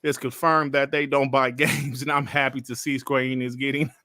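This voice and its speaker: A man from Detroit hosting a casual podcast livestream, animated and joking as he reads out chat messages. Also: medium pitch, normal speed, no echo, clean studio sound. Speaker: podcast host.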